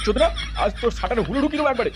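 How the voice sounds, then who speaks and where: Brief: man playing irate cartoon dad, extremely high-pitched, rapid, cursing and threatening. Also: expressive, very noisy. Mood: furious. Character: furious foul-mouthed middle-aged village father. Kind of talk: comedy cartoon dubbing.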